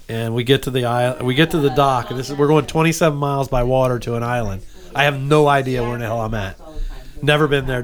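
A middle-aged man telling a story in casual chat with friends, animated and laughing as he acts out what people said. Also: mid-range voice.

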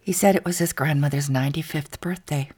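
An actress with a Canadian accent playing an anxious, defensive middle-aged rural wife in an audio drama, with a husky, breathy voice. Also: female voice, deep voice, brisk, a little mellow. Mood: doubtful, defensive. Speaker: actor. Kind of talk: naturalistic audio drama acting.

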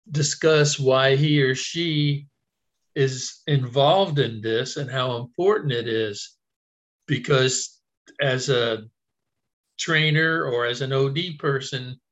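A man joins an online training discussion, speaking very slowly and thinking aloud as he goes. His voice sits at a middle pitch. The call audio is muffled and lo-fi. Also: fairly bright tone. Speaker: training participant. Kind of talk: casual discussion. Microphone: video call.

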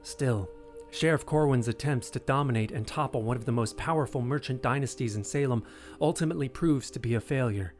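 A man's voice: mid-range voice, brisk, darkish tone, faint music behind. A man giving scripted, dramatic narration of history for an audio drama.

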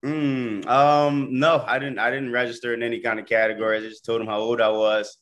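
A man's voice: medium pitch, level tone, brisk, fairly bright tone. A man in his thirties with an American accent, chatting informally as a guest and often repeating his words as he goes. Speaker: podcast guest, first-time triathlete. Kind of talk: casual chat.

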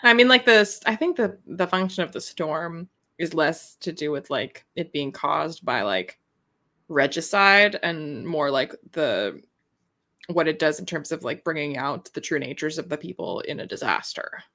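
A woman's voice: sits low, animated, moderate pace, pushed and bright. A woman co-hosting a casual book discussion podcast, talking in a wry, playfully opinionated way.

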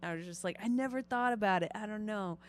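A woman's voice: mid-range voice, fast talker, a little hiss or hum. A young woman from the Chicago suburbs chatting casually on a podcast, swearing often and filling her talk with fillers. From Chicago North Shore suburbs.

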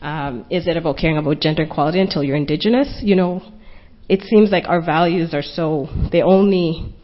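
A woman's voice: low voice, a little fast. A woman panelist speaking earnestly and reflectively at a conference panel discussion, thinking aloud as she goes. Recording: dull lo-fi conference recording.

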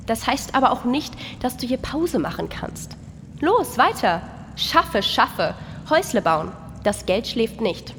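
An actress playing a bossy, impatient microorganism worker with a brusque, commanding manner in a satirical audio play. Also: female voice, fairly high pitch, sing-song, unhurried, fairly bright tone, exaggerated voice. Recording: long reverb, noisy ambience.

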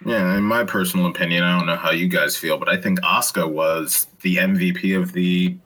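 A man's voice: low voice, fairly even intonation, brisk, bright, forceful tone. A man joining a casual wrestling podcast as a guest, talking loosely and bluntly and swearing now and then.

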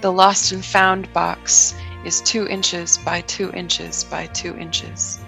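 Unhurried creaky-voiced woman narrating. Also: on the low side, little pitch movement, over music, poor audio. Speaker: improv comedy podcast performer. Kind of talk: improvised scene-setting narration.

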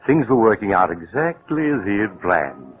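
A man's voice: on the low side, big pitch swings, normal speed, phone-quality sound, faint music behind. A man giving scripted narration for a 1940s radio mystery. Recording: noisy, thin vintage radio recording.

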